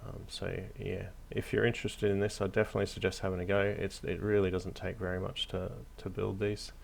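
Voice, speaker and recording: Very deep, gravelly man, casual tutorial. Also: level tone, a little fast, a little hiss or hum. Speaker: hobby craft tutorial host. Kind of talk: casual unscripted tutorial narration.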